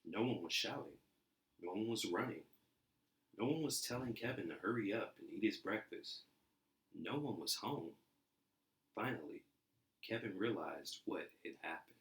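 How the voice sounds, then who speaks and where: Male reader for a children's storybook, deep low register, expressive narration, audibly echoey room. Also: normal speed, long pauses. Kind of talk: expressive storybook read-aloud. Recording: roomy, echoey indoor sound.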